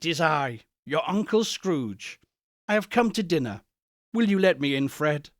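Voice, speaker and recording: A man performs an elderly reformed miser from a storybook, eager and full of kindly joy. His speech is courteous and effusive, with a lively, swinging pitch and a close, dry sound. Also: measured pace, no room sound. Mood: warm, hopeful.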